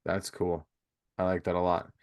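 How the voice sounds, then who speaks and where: A man's voice: low-pitched, completely flat delivery, moderate pace, darkish tone. A man hosting a podcast interview, chatting casually and rambling with lots of "you know" fillers. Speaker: podcast host.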